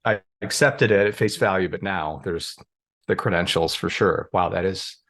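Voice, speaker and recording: A man's voice: low-pitched, moderate pace, fairly bright tone. A man in a playful, musing conversation as a guest on a video call, thinking aloud and joking lightly. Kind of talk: casual conversation.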